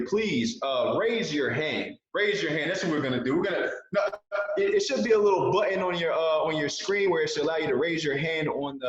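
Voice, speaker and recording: A man in his twenties giving an earnest motivational talk as a guest speaker on a video call, repeating key phrases for emphasis. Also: fairly high pitch. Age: 20s. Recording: very echoey, dull video call audio.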